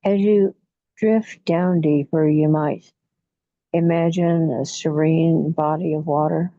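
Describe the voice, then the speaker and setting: Slow, low-voiced woman guiding relaxation. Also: steady tone, mellow, dry recording. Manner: soothing, unhurried, with long pauses between short phrases. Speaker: guided imagery facilitator. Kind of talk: guided relaxation meditation. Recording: muffled, dull lo-fi audio.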